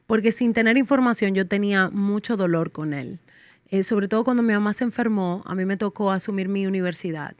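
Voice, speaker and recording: A woman's voice: medium pitch, brisk, darkish tone, dry recording. A woman from the Dominican Republic, a podcast guest, speaking candidly in casual interview chat, reflective with wry humor.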